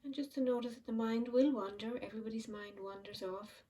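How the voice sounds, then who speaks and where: A woman leading a guided mindfulness meditation, speaking slowly and softly with long pauses. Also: a little high, far from the mic. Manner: slow, soft and soothing, with frequent pauses. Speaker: meditation teacher.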